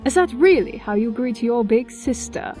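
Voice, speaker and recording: A woman voices a refined, powerful elder-sister pony in an audio drama. Her voice is on the high side, composed, formal and smug, with faint music behind it. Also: lively intonation, normal speed, warm tone, lightly acted. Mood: smug teasing. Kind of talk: scripted audio drama acting.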